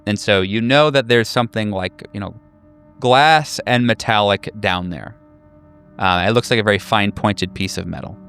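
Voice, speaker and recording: A man running a horror tabletop game, improvising scene descriptions in a casual, halting way. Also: lowish voice, fairly bright tone, smooth. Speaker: game master.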